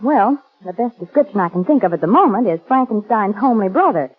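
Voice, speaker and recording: Wisecracking young American society woman, 1930s radio style, very expressive husky voice, thin vintage recording. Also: mid-range voice, fast talker, narrow, tinny audio, soft background music. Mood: shaken but wry. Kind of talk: 1930s radio drama acting.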